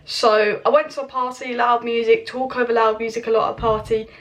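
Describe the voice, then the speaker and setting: A young woman hosting a casual football transfer news update from home, hoarse as she loses her voice, chatty and opinionated, recorded on a camera mic. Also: on the high side, little pitch movement, brisk. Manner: chatty, opinionated, speaks straight to viewers. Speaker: football channel host. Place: home with kitchen clatter nearby.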